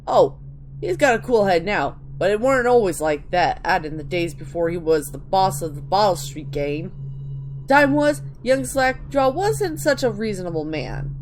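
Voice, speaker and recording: A woman voicing a rough, chatty street gang member telling tales colloquially in a dramatic reading of video game lore. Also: mid-range voice, animated, moderate pace, a little hiss or hum, close to an everyday voice. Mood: gruff reminiscing.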